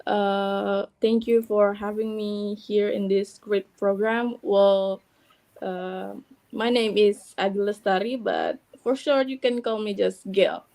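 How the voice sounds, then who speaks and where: A young woman from Indonesia introduces herself as a student panelist. She talks slowly and hesitantly, with frequent fillers. She is on a video call. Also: medium pitch, gravelly, a little mellow. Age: young adult. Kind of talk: casual self-introduction.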